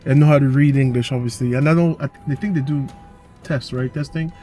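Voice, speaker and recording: An interviewer speaks in an even, mid-pitched voice, slowly recapping what the guest said and nudging her forward. Soft music sits faintly in the background. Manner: slow, recapping and prompting the guest. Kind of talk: casual interview.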